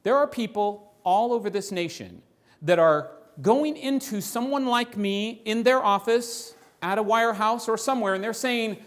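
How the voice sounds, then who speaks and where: A man presenting financial ideas to a live audience in a room, animated, often asking the listeners rhetorical questions. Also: sits high, moderate pace.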